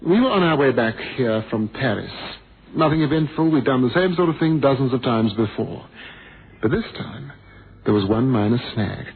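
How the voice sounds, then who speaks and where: An actor playing a blind former pilot, theatrical and bitterly witty, with a wry, wordy, ironic manner, in a radio drama. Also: male voice, mid-range voice, lively intonation, normal speed, husky. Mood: wry, reminiscing. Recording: noisy, thin phone-like old radio recording.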